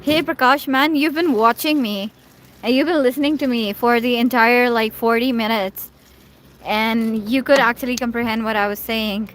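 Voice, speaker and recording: A woman's voice: on the high side, normal speed, a bit bright, slight background noise. A young woman, a native Urdu speaker, chatting casually and laughing at herself on a live stream from her phone while she walks outside at night. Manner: chatty, laughing, self-teasing, switches into Hindi and Punjabi. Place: outdoors at night, walking around her building.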